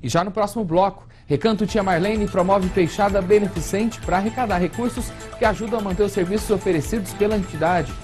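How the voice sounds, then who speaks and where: A young man anchoring a regional evening newscast from a studio, reading the news in a steady, formal way. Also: high voice, brisk, hardly any pauses, faint music behind. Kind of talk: scripted news presenting.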